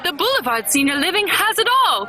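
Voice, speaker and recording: An actress playing a posh, excitable society wife with an affected, gushing manner in an old-fashioned comic radio commercial. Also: female voice, extremely high pitch, a little fast, over loud music. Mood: gushing excitement. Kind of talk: vintage radio-style comic commercial acting.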